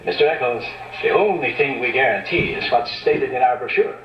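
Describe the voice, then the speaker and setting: A man acting in a radio drama as a smooth, officious, formally polite safari company salesman. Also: medium pitch, lively intonation, fairly quick, recorded from a distance, faint music behind, lightly acted. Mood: glib. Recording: roomy, muffled playback from a radio set.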